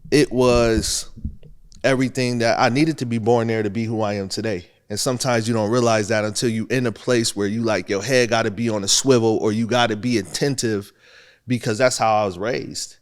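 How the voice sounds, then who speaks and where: A man's voice: on the low side, brisk, smooth. A young man from Buffalo, a rapper, talking casually in an interview and telling his story with easy laughs. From Buffalo, New York. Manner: relaxed, storytelling, laughs often. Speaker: rapper guest.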